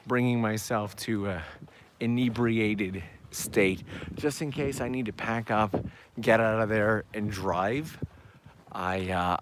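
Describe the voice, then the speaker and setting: A man hosting a live travel stream outdoors, chatting upbeat to viewers while walking, his voice going hoarse. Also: on the low side, slight background noise. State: losing his voice. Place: outdoors on town backstreets at evening.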